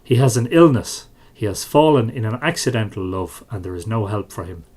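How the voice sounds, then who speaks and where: A man's voice: on the low side, expressive, a little fast, darkish tone. A man with an Irish accent reading the words of a worried, pleading mother in a storybook legend, formally phrased.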